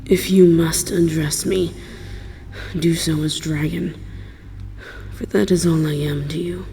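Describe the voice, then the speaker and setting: A woman voicing an aloof, wounded, world-weary dragon in a measured, solemn, formal roleplay monologue. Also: low voice, steady tone, vocal fry, warm tone, faint music behind, heavily acted. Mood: cold, weary. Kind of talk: slow dramatic roleplay audio monologue.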